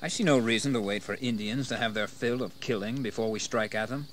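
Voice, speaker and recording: An actor playing a bold, ambitious colonial rebel leader in a history radio drama, speaking in a proud, formal, declamatory manner. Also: male voice, on the low side, brisk, a bit bright, slight background noise, natural voice. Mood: cool resolve. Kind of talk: dramatized history audio acting.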